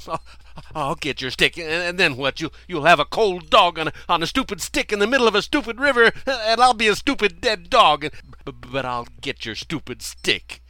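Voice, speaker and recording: A man's voice: high voice, expressive, normal speed, bright, forceful tone, dry recording, voice pushed up. A man voicing a panicky, indignant male dog stuck in an icy river, stammering and complaining. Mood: frantic whining. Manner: frantic, stammering, whiny complaining.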